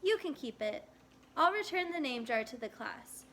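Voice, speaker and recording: A woman's voice: sits high, lively intonation, normal speed, a bit bright, a little hiss or hum. A woman voicing a friendly, bashful young schoolboy in a storybook, eager but a little hesitant and sincere. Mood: kind.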